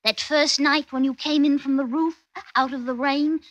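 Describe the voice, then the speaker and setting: A woman's voice: high voice, steady tone, normal speed, dry recording, highs cut off, close to an everyday voice. A woman playing a sweet, naive, lovestruck young woman with a firm streak, earnest and theatrical in an old-time radio drama. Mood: jealous, firm.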